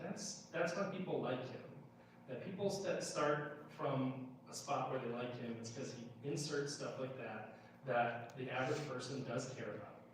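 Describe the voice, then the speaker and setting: A man speaking informally as a political candidate at a town hall, explaining things in a measured, conversational way, recorded in an echoey room at a distance. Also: medium pitch. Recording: echoey room, distant mic, faint noise.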